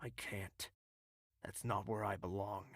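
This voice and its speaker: A man's voice: lowish voice, little pitch movement, unhurried, fairly bright tone, no room sound, clean studio sound. A man playing a troubled, self-doubting young royal in an audio drama, with an earnest, hesitant, introspective manner. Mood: subdued, uneasy.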